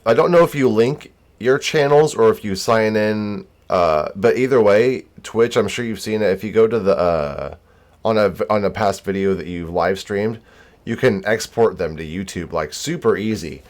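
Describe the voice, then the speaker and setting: An American man with a deep voice streams games and chats as he goes. His delivery is easygoing and informal, wandering from thought to thought. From United States. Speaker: game streamer. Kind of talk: casual livestream chat.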